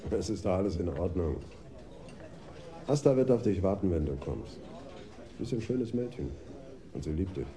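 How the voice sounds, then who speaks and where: A reassuring male police officer in a German radio crime play. The voice is deep and very dark, gentle and consoling. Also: lively intonation, measured pace, long pauses. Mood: consoling, resigned.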